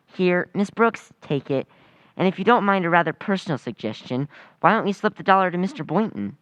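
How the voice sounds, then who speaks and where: A woman's voice: on the low side, animated, fairly quick, no echo, dull audio, close to an everyday voice. A woman playing an eager, chatty teenage schoolboy in an old-time radio comedy, with gushing politeness. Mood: cheery, eager. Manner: earnest, gushing, boyish.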